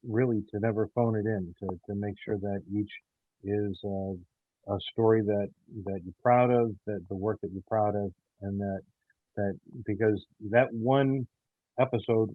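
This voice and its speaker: Male interview guest, lower register, hesitant and sincere, casual talk in a dry space. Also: no room sound, narrow, tinny audio. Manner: hesitant, halting, earnest. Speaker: audio theater show creator, interview guest.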